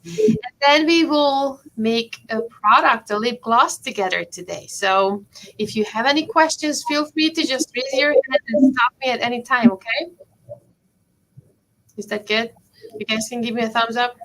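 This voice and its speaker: Lady prof doing a chemistry class for kids on a video call, a bit high voice, keeps checking in with them. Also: a bit bright, poor audio. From Hungary. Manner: encouraging, checks in often with the kids. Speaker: professor teaching a kids' chemistry camp. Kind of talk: friendly talk to children over a video call.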